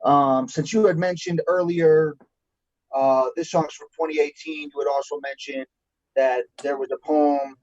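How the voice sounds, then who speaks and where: A man co-hosting a podcast, asking a question haltingly with many filler sounds. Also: a little high, steady tone, darkish tone. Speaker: podcast co-host. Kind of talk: casual podcast conversation. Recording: dry, dull lo-fi sound.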